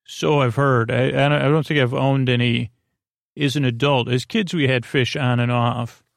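A man hosting a podcast with a meandering, halting bedtime monologue, often losing his thread as he goes. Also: medium pitch, fairly even intonation, gravelly, no room sound, clean studio sound. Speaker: podcast host. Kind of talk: rambling, improvised bedtime monologue.

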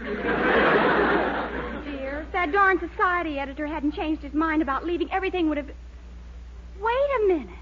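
A scheming, take-charge young girlfriend in a 1940s radio comedy. Her voice is very high and lively, on a muffled old recording. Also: moderate pace, long pauses, crowd noise, background noise. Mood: concerned, plotting. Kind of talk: 1940s radio sitcom acting.

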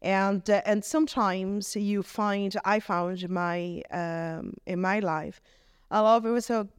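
A woman's voice: mid-range voice, steady tone, clean tone, no echo. Brazilian accent lady vicar, earnest, stops and restarts a lot, dead dry mic. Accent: Brazilian Portuguese. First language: Portuguese. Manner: earnest, halting, rephrases often. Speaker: vicar, guest. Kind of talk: reflective testimony in conversation.

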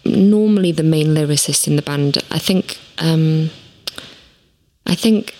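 A woman musician answering interview questions, thoughtful and halting, with many fillers and casual swearing. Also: deep voice, dark tone, low-quality recording. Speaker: musician, band lyricist.

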